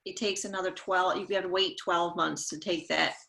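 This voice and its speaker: A woman's voice: on the low side, steady tone, moderate pace, vocal fry, sharp tone. A woman giving casual, unscripted advice as a panelist. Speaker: webinar panelist.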